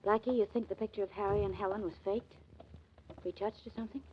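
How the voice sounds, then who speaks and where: A woman's voice: mid-range voice, fairly even intonation, fast talker, natural voice. An actress playing the detective's cheerful, breezy girlfriend in a 1940s radio drama. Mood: perky. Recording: dull old radio recording, faint hiss.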